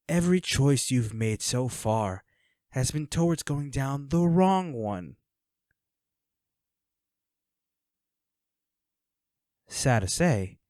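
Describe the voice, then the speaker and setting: A man playing a flirty, menacing villain in an audio roleplay, speaking to the listener with taunting, mock-sympathetic, unhurried delivery. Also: medium pitch, a little mellow, no echo, clean studio sound. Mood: smug, mock regret. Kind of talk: scripted audio roleplay acting addressed to the listener.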